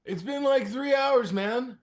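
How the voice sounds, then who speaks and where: Man playing a whiny, high-pitched young ski bum. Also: slow. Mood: pleading. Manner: slangy slacker, whining. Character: laid-back, pleading young ski bum, male, twenties. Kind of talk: comedic table-read acting.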